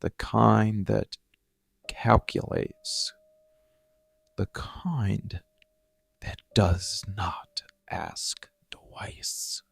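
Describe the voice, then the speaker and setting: A man playing a cold, embittered dark-fantasy villain in an ASMR monologue, speaking deliberately with heavy pauses. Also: lowish voice, steady tone, vocal fry, slightly rough, no echo, heavily acted. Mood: grave, steely.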